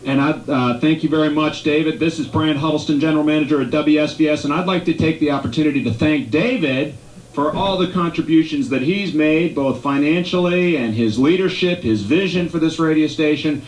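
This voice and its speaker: A man's voice: fairly high pitch, fairly quick. A man speaking as a radio station manager, making a live ceremonial announcement. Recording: echoey room.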